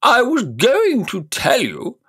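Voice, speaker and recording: A man's voice: huge pitch swings, takes it slowly, bright, forceful tone, slightly rough, exaggerated voice. A man voicing a pompous, cruel elderly male magician in a storybook reading, blustering and haughty. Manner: high, blustering, haughty and indignant. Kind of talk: audiobook character voice.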